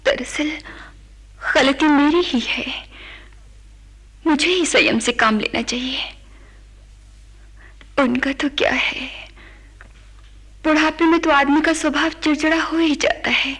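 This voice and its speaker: High-voiced, slow, hesitant wife. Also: female voice, a bit bright. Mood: regretful. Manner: halting, tentative. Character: hesitant, anxious daughter-in-law and wife. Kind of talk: radio drama acting.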